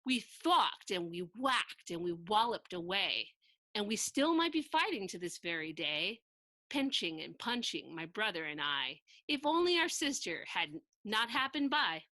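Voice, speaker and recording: A woman reading a children's picture book aloud in an expressive, theatrical, sing-song way with emphatic rhyming delivery. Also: medium pitch, unhurried, no echo. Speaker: storybook narrator reading aloud.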